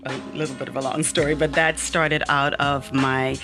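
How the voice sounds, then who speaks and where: A woman's voice: sits low, flat delivery, normal speed, over music. Earnest woman, reflective guest. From born in Miami, raised in the Bahamas. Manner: earnest and reflective, with frequent ums. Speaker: author and interview guest. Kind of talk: personal interview conversation.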